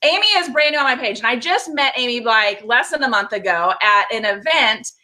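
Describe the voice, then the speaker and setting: Bubbly high-voiced woman hosting a live call. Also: animated, a little fast, pushed and bright, rough recording. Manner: bubbly, upbeat, talks straight to viewers. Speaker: host. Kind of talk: live video call interview. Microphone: video call.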